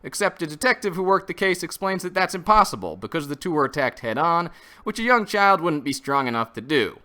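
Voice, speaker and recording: Bright, animated man narrating a review. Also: a little high, fairly quick. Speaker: reviewer, host. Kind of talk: scripted comic review narration.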